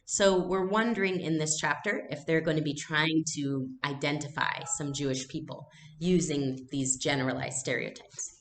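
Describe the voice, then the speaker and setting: A woman teacher narrating a novel aloud and pausing to explain it to learners. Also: sits low, fairly even intonation, a little hiss or hum.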